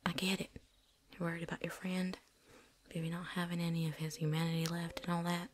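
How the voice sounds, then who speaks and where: Woman doing a whispery vampire hunter lady for asmr, lowish voice, chatty n cocky, folksy, bit of noise. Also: monotone, lightly acted. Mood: sympathetic, frank. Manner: folksy, rambling, with drawn-out asides. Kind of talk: whispered ASMR roleplay acting.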